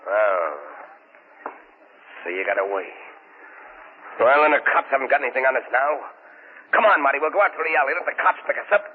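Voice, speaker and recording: An actor playing a tough, bullying gangster with gruff, clipped slangy talk, in 1930s radio crime drama style. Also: male voice, medium pitch, expressive, a little fast, long pauses. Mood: cocky. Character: tough, defiant male gangster, bullying and cocky. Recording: tinny, narrow 1930s radio broadcast.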